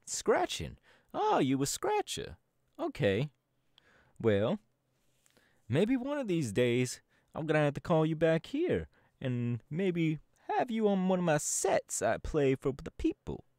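A man's voice: on the high side, huge pitch swings, slow, darkish tone, no room sound, clean studio sound, full character voice. A man voice acting a laid-back young male DJ gang boss in an ASMR audio roleplay, talking in an easygoing, chatty drawl. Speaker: voice actor.

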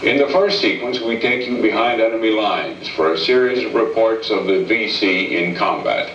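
A middle-aged American man presenting a scripted 1960s military film in a formal, measured way. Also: fairly low pitch, a bit bright, recorded from a distance. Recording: muffled, echoey old film soundtrack, hissy.

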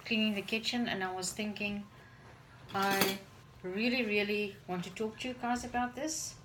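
A woman's voice: medium pitch, fairly even intonation, moderate pace. Woman, forties, native afrikaans speaker, earnest and searching for words, home kitchen, phone camera, faint noise. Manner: earnest, repeats words while searching for them; moving about while doing chores. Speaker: parent vlogger. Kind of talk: casual talk to camera. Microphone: phone camera on the counter.

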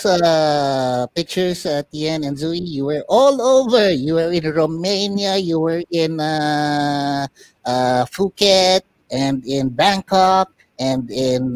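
Male host, casual interviewer, slightly high voice, unhurried pace, dry close sound. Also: no echo. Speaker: talk show host.

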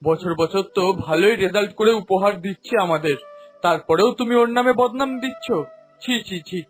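Proud, doting cartoon father, middle-aged, male actor. High voice, expressive. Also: normal speed, faint music behind, natural voice. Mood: defensive, irritated. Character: proud, indulgent middle-aged father, protective of his daughter. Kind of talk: animated cartoon voice acting.

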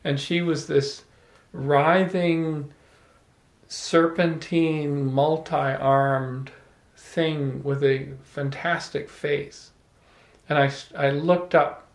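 A middle-aged man telling an animated, playful story to a small audience, holding a handheld microphone. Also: on the high side, drawn-out words. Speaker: salon speaker. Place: room with small live audience. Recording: echoey room.

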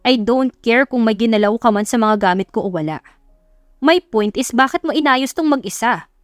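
A woman's voice: a little high, lively intonation, moderate pace, no echo, faint music behind, close to an everyday voice. Young male executive, commanding, smitten, direct, for romantic audio drama; woman performing, dry close sound. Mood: exasperated, worried. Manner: blunt, commanding, serious. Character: stern, protective young male CEO, smitten and blunt.

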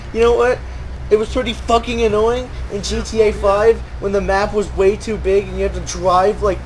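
High-voiced sarcastic sweary male gamer. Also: poor audio. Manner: sweary, exasperated ranting; mock-dramatic, heavy sarcasm. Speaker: gaming streamer. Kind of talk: sarcastic gameplay commentary. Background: video game music and sound.

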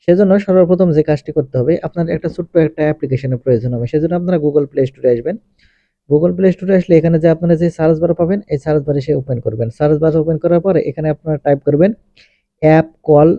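A man narrates a tech tutorial in a fairly high voice at a very rapid pace. He goes through each step methodically, often repeating his phrasing. The recording is close and dry. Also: no room sound, muffled recording. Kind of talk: step-by-step instructional voice-over.

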